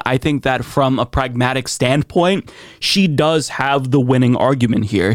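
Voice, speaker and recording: A man's voice: mid-range voice, no room sound. A man hosting an opinionated political commentary show, talking in a sarcastic, emphatic way into a close mic.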